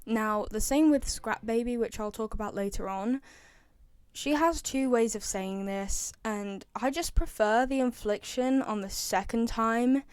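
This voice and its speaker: A woman's voice: a little high, unhurried, darkish tone, smooth, no echo. A young woman with a British accent giving casual opinion commentary as a video host, often repeating herself for emphasis. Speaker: video host, game fan.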